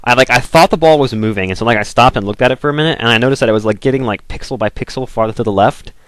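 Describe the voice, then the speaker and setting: A man's voice: medium pitch, very fast, a bit bright, no echo. A young man from the southern United States giving casual, rambling commentary over a video game, full of "like" and restarts. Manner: rambling, filler-heavy, restarts, frequent "like".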